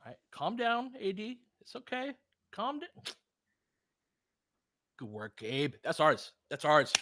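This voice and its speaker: A man hosting a live basketball watch-along, talking as an excitable, swearing fan who also analyzes the play. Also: sits high, slow pace, a little hiss or hum. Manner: profane, excitable fan talk with analytical asides. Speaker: sports podcast host and analyst.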